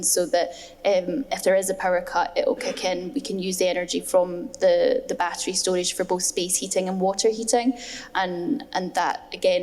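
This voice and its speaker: A woman's voice: on the low side, a little mellow. A woman speaking as a panelist at a design festival discussion, earnest and emphatic, often repeating words for stress. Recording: long hall reverb.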